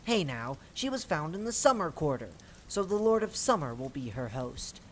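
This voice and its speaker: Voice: medium pitch, very animated, moderate pace, slight background noise, natural voice. A performer voicing proud, rivalrous fae courtiers of the seasons in a theatrical, declamatory audio-drama style. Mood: proud, indignant.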